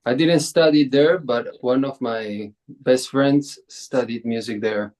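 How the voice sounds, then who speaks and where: A man guest chatting casually in short, halting phrases. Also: medium pitch, darkish tone, a little hiss or hum. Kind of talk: casual chat.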